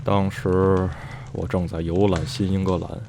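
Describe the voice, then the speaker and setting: A man playing an older man in a radio drama, grave and deliberate as he recounts his past. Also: low-pitched, level tone, darkish tone. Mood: grave, reminiscing. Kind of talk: radio drama dialogue and storytelling. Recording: clear background noise with clicks.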